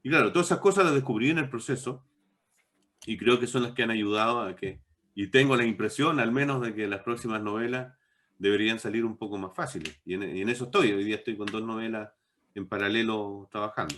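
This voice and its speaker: A middle-aged man talks reflectively in an interview, in a discursive, halting way with repeated words. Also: fairly low pitch, fairly bright tone. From born in Bolivia, moved to Chile as a child. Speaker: interviewed novelist.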